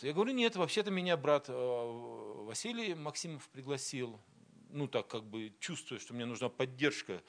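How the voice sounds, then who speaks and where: Middle-aged man telling a sermon story. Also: high-pitched. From Siberia. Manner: storytelling, quoting dialogue, grows animated. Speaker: preacher.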